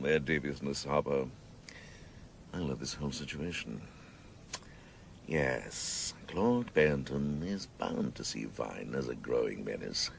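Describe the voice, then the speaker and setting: Very deep, sardonic police chief. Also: male voice, creaky, a little hiss or hum, full character voice. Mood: sardonic relish. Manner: sardonic, grandiose, savouring his words. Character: sardonic, obsessive senior police chief, theatrical and vengeful. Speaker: actor in radio drama. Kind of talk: radio drama acting.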